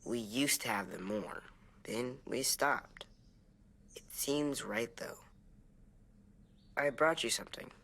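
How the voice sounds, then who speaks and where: A young boy from a video game drama. He is soft-spoken and earnest, talking simply and gently, as if reassuring a friend. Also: medium pitch, slow pace, creaky, long pauses, exaggerated voice. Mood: gentle, subdued.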